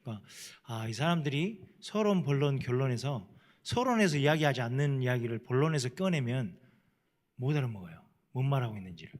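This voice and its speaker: A man's voice: mid-range voice, big pitch swings, fairly quick. Jeolla-accented missionary man. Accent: Jeolla dialect. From South Korea. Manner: plain-spoken, self-deprecating, chuckles often. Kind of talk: panel talk, casual storytelling.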